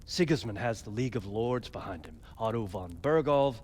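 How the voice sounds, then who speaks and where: A man's voice: medium pitch, expressive, normal speed, a little mellow, a little hiss or hum, close to an everyday voice. A man playing a practical, doubtful medieval nobleman in a game cutscene, courteous but questioning. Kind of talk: dramatic video game cutscene acting.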